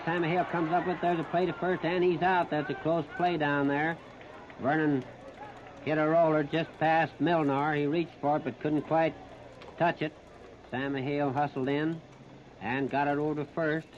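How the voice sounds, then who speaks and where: A dull, noisy 1930s radio recording carries an American man announcing a baseball game. His voice sits a little high for a man. He calls the action step by step in short, clipped phrases, leaving pauses between them. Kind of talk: 1939 live radio sports commentary. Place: outdoor ballpark, broadcast position behind home plate.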